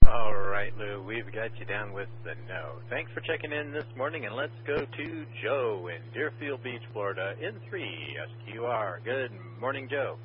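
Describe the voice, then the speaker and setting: A man's voice: fairly low pitch, moderate pace, hardly any pauses. A man runs a ham radio net roll call, moving steadily from one caller to the next and dropping dry one-liners along the way. His voice comes through dull and muffled, with a faint hiss under it. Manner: steady, matter-of-fact call-taking with dry quips.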